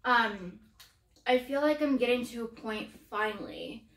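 A woman's voice: on the high side, animated, measured pace, a bit bright. A woman in her early thirties, co-hosting a casual eating-show chat, candid and full of "you know" and "like". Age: early 30s. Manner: chatty, candid, many "you know" and "like" fillers. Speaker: co-host. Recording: echoey room.

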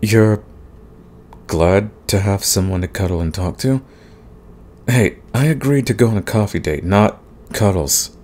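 A man playing a big, grumpy but soft himbo demon in a romantic comedy audio roleplay, husky and stammering in protest. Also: lowish voice, a little slow, long pauses. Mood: flustered, indignant. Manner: grumbling, sighing, stammering protests. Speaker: voice actor.

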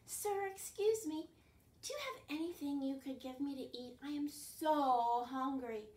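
Woman voicing an eager, high-pitched hungry boy. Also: a little slow, a little mellow. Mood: pleading. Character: hungry, resourceful village boy, polite and eager. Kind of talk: children's storybook telling. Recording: roomy, distant camera microphone.

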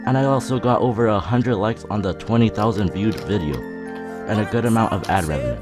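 A teenage boy talks in a steady monotone, deadpan and sarcastic, his voice sitting a bit low. Faint music plays underneath. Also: brisk. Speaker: YouTuber. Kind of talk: casual roast vlog narration.

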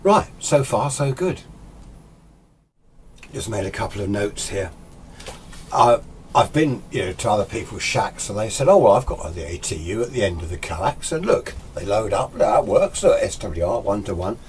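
A man's voice: on the low side, animated, moderate pace, darkish tone, a little hiss or hum. A British man in his sixties giving an informal, opinionated, rambling explanation as a hobbyist presenting a tutorial. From United Kingdom. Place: small indoor radio workshop.

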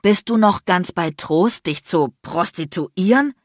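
A woman voicing an icy, furious, sarcastic man in an audiobook, hissing and shouting with biting, threatening bluntness. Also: on the low side, animated, unhurried, no echo, studio quality. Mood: outraged, sarcastic. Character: enraged, icy, sarcastic, domineering adult man, a lawyer. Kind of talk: dramatic audiobook character acting.

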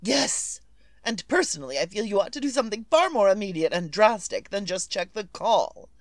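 An actress playing a nervous, demanding, well-to-do invalid wife in old-time radio suspense style, imperious and melodramatic. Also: female voice, mid-range voice, lively intonation, normal speed, dry recording, studio quality, close to an everyday voice. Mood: insistent, anxious.

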